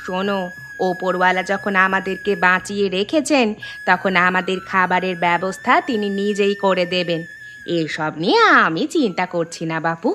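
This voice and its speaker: A woman playing a firm, reassuring village housewife and mother in a Bengali cartoon, scolding yet caring and expressive. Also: on the low side, normal speed, faint music behind, lightly acted. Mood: resigned, reassuring.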